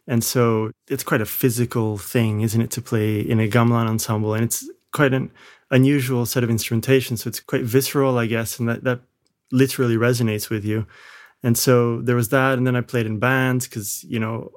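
A man of average pitch who grew up in Malaysia talks from his home studio as a podcast guest. He answers in a loose, reflective way, restarting phrases and hedging as he thinks. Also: level tone, moderate pace. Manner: reflective, with frequent restarts and hedges. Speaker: guest, film composer. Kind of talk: casual interview talk.

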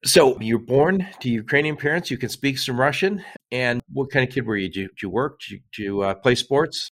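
A man's voice: mid-range voice, a little fast, a bit bright. A man hosting a podcast, asking rambling conversational questions with false starts. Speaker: podcast host. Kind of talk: podcast interview.

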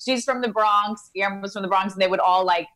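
A woman's voice: medium pitch, steady tone, fast, a bit bright, rough recording. A woman in her thirties from Queens, talking casually and self-deprecatingly as an actress guest over a video call. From Queens, New York. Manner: chatty, self-deprecating, laughs often.

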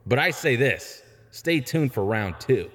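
A man's voice: mid-range voice, lively intonation, a little slow, fairly bright tone. A man playing a wisecracking young vigilante and former sidekick in an audio drama, full of breezy, sarcastic banter. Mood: cocky. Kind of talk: fan audio drama acting. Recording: long hall-like reverb.

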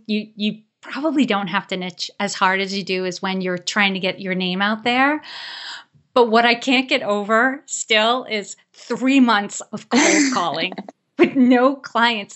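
A woman's voice: mid-range voice, fairly bright tone. A woman hosting an interview podcast, with an upbeat, enthusiastic manner. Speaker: podcast host.

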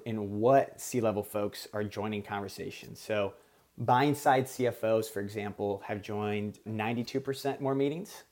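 A male executive with a somewhat low voice, a native speaker of Portuguese, answering as a podcast guest. He explains things in a measured, conversational manner. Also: darkish tone. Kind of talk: conversational business interview.

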